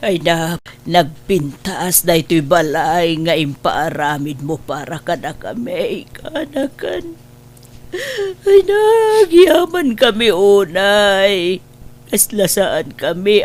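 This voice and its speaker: A woman's voice: lowish voice, expressive, slow. A woman voicing a poor, frail elderly aunt who sighs and quavers with gratitude. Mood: tearfully grateful. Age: older. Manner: quavering, sighing "ay na" often. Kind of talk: audio drama acting.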